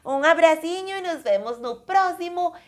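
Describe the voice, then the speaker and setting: A woman from Colombia, teaching an online language lesson slowly, with clear, careful enunciation. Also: very high-pitched, animated. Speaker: Portuguese teacher.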